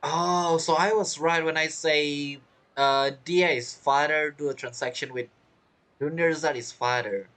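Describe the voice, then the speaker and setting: A man's voice: fairly high pitch, fairly even intonation, a little slow, a bit bright. A man giving casual, reactive commentary as he plays a game, chatty, with non-native phrasing. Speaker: streamer playing a game. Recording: game music behind the voice. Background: game music.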